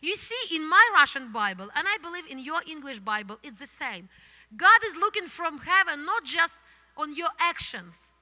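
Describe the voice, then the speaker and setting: Ukrainian lady pastor, fairly high voice, animated sermon, handheld mic, long echo in the church hall. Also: moderate pace. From Soviet Union. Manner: animated, gesturing, non-native English phrasing. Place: church stage, indoors, large hall. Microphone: handheld microphone.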